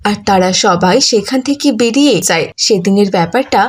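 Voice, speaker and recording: A woman's voice: mid-range voice, animated, a little fast, breathy edge. A woman narrating a cartoon story from a script, as a storyteller.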